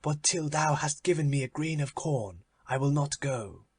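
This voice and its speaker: Man playing Death, a husky, weary, insistent spectre. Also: fairly high pitch, animated, moderate pace. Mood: resolute. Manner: solemn, archaic storybook speech.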